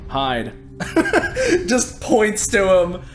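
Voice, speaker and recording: A man's voice: high-pitched, wildly swooping pitch, extremely slow, faint music behind. A man playing a tabletop game, mixing loose, conversational narration with casual in-character lines.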